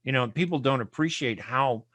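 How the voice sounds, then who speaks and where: Male radio host from the US, bright, forceful tone, dry close sound. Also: medium pitch, a little fast, no room sound. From United States. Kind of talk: conversational radio interview.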